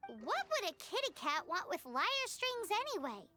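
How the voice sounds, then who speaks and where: A woman voicing a tiny, cheeky, excitable fairy companion with a squeaky, childlike voice in an anime video game. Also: moderate pace, soft background music, full character voice. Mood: eager, curious. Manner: excitable, refers to herself in third person.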